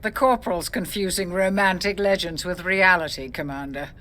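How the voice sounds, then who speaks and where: Crisp, sceptical ship's officer. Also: female voice, lowish voice, normal speed, sharp tone. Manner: sceptical, matter-of-fact. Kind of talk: video game voice acting.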